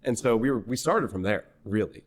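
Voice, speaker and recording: A young man from New Hampshire, a singer-songwriter guest in a podcast interview, telling a story in a rambling, animated way full of restarts. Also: on the low side, brisk. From New Hampshire, USA. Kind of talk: casual conversational interview.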